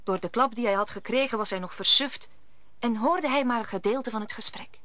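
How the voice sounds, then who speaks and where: A woman's voice: fairly high pitch, fairly quick, pushed and bright, no room sound. A woman narrating a scripted radio play as a storyteller.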